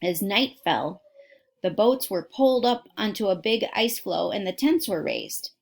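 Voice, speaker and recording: A woman's voice: mid-range voice, animated, a little slow. A woman reading a children's nonfiction story aloud like a teacher, with dramatic emphasis and direct asides to her listeners. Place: at home.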